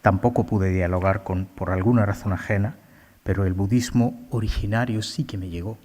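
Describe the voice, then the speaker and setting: Male poet, low-pitched, unhurried and halting, recorded with long hall reverb. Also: fairly even intonation, darkish tone. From India. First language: Bengali. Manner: slow, halting, many filler sounds. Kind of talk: poetry reading with commentary.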